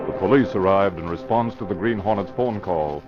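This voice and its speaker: A man's voice: low voice, fairly quick. A man narrating a 1940s radio drama in scripted announcer style. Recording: muffled vintage radio recording with music. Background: dramatic music.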